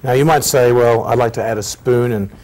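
A man in the middle of a cooking demonstration, explaining each step as he works. His voice sits at an ordinary male pitch, and he lists ingredients and measures in an easy, friendly way. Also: brisk, a little mellow, rough recording. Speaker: cooking show host.

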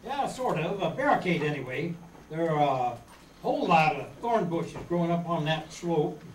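An amateur actor playing a practical, take-charge leader of castaway children in a staged reading of an old radio adventure. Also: male voice, on the high side, very animated, moderate pace. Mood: decisive. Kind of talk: 1930s children's radio adventure acting, read from script. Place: meeting room stage before seated audience. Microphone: far camera. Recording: roomy, distant camera mic, faint noise.

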